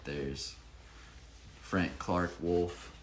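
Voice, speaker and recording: A man reading his own children's picture book with expressive emphasis, pausing to explain it casually to a child. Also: low-pitched. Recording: echoey room with faint background noise.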